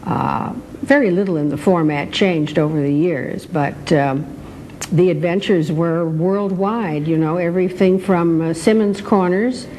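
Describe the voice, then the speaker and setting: Deep, mellow older American woman. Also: measured pace, a little hiss or hum, low-quality recording. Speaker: former radio actress. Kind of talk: reminiscing in an interview.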